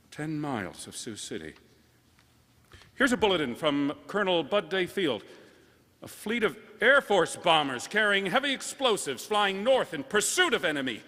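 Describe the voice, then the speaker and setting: A man's voice: a little high, pushed and bright, big-room sound. A man playing a flustered radio newscaster, reading urgent war bulletins with a stammering delivery in old-time radio style. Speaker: radio announcer.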